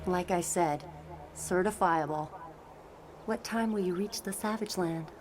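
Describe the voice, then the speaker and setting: Actress playing young female telepath, lowish pitch, tender, wry, fatalistic, comic-book drama, engine noise in background. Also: a little slow, close to an everyday voice. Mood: wry, uneasy. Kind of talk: dramatic motion comic voice acting.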